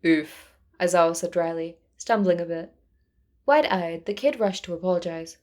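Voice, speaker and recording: A woman's voice: on the low side, normal speed, a little mellow. A woman reading fiction aloud as narrator in a scripted storytelling style. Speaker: narrator, fan fiction reader.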